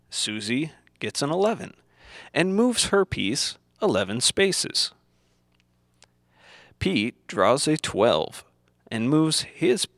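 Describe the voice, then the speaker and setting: A man reading scripted instructional narration in the manner of an old educational film, deliberate and didactic, in simple phrases. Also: medium pitch, fairly bright tone, dry recording, studio quality.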